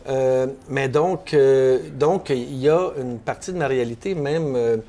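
A man's voice: mid-range voice, unhurried, dark tone. Hesitant Québécois man in a bookstore. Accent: Québécois French. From Quebec. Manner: thoughtful, hesitant with many restarts. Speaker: novelist and columnist guest. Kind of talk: panel discussion. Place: bookstore, indoors.

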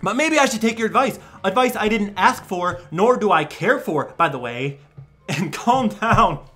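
Young guy doing a self-important touchy internet ranter, super dramatic, pitch all over the place, music behind. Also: expressive, moderate pace. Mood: sarcastic, smug. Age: young adult. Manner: theatrical, swinging from breathy whispers to shouting. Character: smug, defensive, self-important man ranting on a forum. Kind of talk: over-the-top dramatic reading. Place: small room at home.

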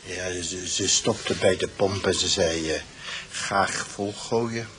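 A man with a Netherlands Dutch accent playing a sleepy, shaken petrol pump owner in a radio drama, halting and repeating his words. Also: deep voice, fairly bright tone, slight background noise. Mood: sleepy, hesitant. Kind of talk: naturalistic radio drama acting.

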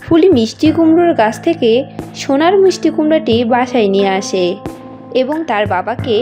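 A woman's voice: high-pitched, lively intonation, a little fast, lo-fi sound, music in the background. A woman narrator reading a scripted cartoon story.

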